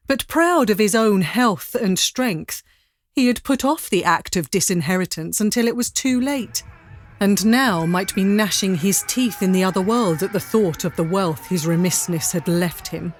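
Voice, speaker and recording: A woman narrating a serious dramatic story in a grave, deliberate manner. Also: medium pitch, dry recording, studio quality.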